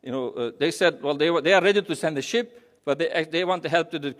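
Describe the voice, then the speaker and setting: A man with a Turkish accent gives an informal talk in a hall with long reverb. His voice sits a little high, and he speaks haltingly, circling back and repeating phrases as he goes. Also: a bit bright. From Turkey. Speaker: humanitarian charity representative speaking. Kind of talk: informal public speech.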